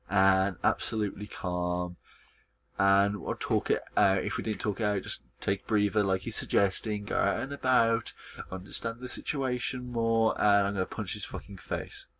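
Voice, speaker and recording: A man's voice: fairly low pitch, fairly bright tone. A man hosting a gaming video, casually commenting on a visual novel with plenty of swearing. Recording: music behind the voice.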